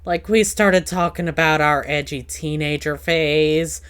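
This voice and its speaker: A woman's voice: low voice, a little slow, fairly bright tone, clear, resonant tone. A woman hosting a casual podcast chat in a playful, teasing, rambling way. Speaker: podcast host.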